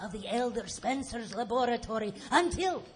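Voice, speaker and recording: An actress playing a campy horror-show host, narrating a live audio play with tongue in cheek. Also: female voice, on the high side, expressive, moderate pace, close to an everyday voice. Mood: mock-dramatic. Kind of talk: live theatrical scripted narration.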